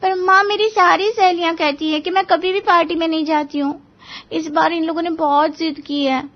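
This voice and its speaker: Casting: woman as whiny young daughter for moral-story drama, very high voice, dull lo-fi audio. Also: fairly even intonation, moderate pace. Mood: coaxing. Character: young daughter of the house, pleading and aggrieved.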